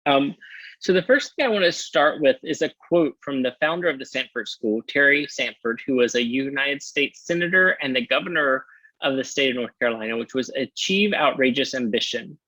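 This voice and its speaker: A man's voice: mid-range voice, moderate pace, pushed and bright, no echo, poor audio. A man presenting a university program in a webinar over a video call, friendly with frequent ums. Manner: friendly, many filler ums.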